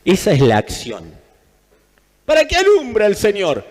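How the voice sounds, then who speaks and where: Argentine guy preaching, kinda high voice, really emphatic, repeats stuff, big echoey hall. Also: huge pitch swings, moderate pace. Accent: Argentine Spanish. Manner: emphatic, repeats phrases for effect. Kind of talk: sermon. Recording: long hall reverb.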